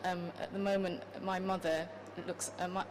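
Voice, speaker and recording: Woman from Japan, discussion participant. Rather low, very even voice, polite and tentative, over clear background noise. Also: moderate pace. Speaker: event participant, mother. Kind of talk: personal remarks in a group discussion. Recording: clear background noise, live event room.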